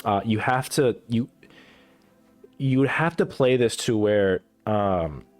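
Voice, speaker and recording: A man with a lowish voice talks through a casual, rambling commentary, cursing freely and stumbling through restarts and fillers. He is coming down with a cold and coughs now and then. Soft music plays faintly behind him. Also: expressive, slow pace. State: getting sick, coughing. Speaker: gaming content creator ranking characters.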